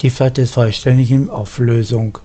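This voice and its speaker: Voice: medium pitch, fairly even intonation, normal speed, warm tone. Someone reading space crew lines in character, scripted role-play, bit of background noise.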